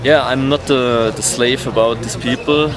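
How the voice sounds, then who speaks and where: An Austrian man with a German-speaking accent, answering a street interview in halting English full of fillers as he searches for words. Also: medium pitch, fairly even intonation, music in the background. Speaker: protester.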